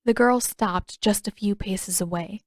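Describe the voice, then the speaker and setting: A woman's voice: mid-range voice, unhurried, no room sound. Woman narrating fiction, very dry sound. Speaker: audiobook narrator.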